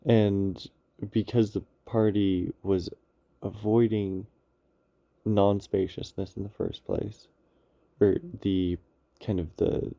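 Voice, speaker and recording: Male, low gravelly voice, slow halting reflective talk, close dry sound. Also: flat delivery, dark tone, no room sound. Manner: halting, searching for words, with long pauses. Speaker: speaker on spirituality and psychology. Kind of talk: unscripted reflective monologue.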